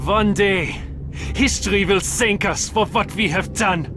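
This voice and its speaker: An actor playing an unhinged, fatalistic Nazi commander in a video game, bitter and grandiose, with deliberate delivery. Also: male voice, high voice, background noise. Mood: grandiose, defiant. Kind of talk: dramatic video game cutscene acting. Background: loud cinematic music.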